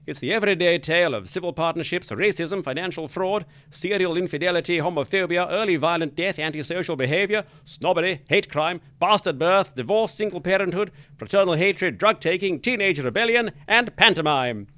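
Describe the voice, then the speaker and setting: A man's voice: sits high, a little fast, few pauses, bright, forceful tone, no echo. A man hosting a spoof 1940s wireless hour, his patter jolly and mock-pompous. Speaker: radio show host. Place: studio.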